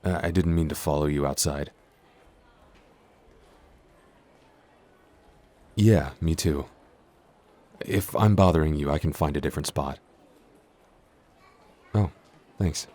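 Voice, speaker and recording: A man's voice: extremely low pitch, moderate pace, long pauses, dark tone. A man playing a shy, clumsy giant in a roleplay audio, stammering, hesitant and constantly apologetic. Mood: awkward, apologetic. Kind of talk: intimate roleplay audio acting.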